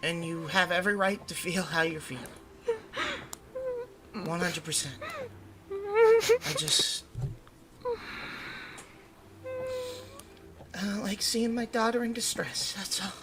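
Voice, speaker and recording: A woman playing a protective mother in an audio roleplay, gently and earnestly reassuring her child in a halting way. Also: medium pitch, big pitch swings, background noise. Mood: firm, loving. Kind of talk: audio roleplay drama acting.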